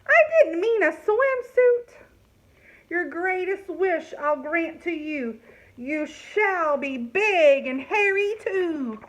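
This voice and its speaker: A woman's voice: very high voice, animated, slow pace, fairly bright tone, pitched above the performer's own voice, full character voice, low-quality recording. A woman voicing a scruffy, bungling fairy godmother from a storybook who chants out rhyming spells. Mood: exasperated.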